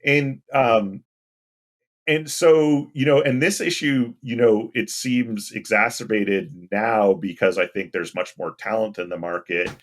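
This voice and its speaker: A man with a deep voice talking casually and anecdotally as an interview guest. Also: measured pace. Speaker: podcast guest, game industry UX professional.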